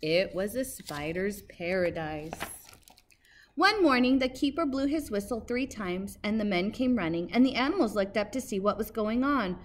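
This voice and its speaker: A woman in her thirties reading a picture book aloud expressively and emphatically, like a teacher, pausing to ask questions. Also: mid-range voice, animated. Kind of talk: expressive children's storybook read-aloud. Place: outdoors by a brick building entrance. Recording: faint outdoor background noise.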